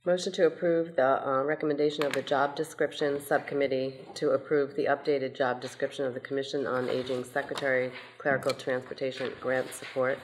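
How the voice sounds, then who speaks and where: A woman's voice: a bass voice, little pitch movement, fairly quick, hardly any pauses, slight background noise, poor audio. A woman council member steadily reading motions aloud at a meeting, with an occasional "um". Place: council chamber, indoors.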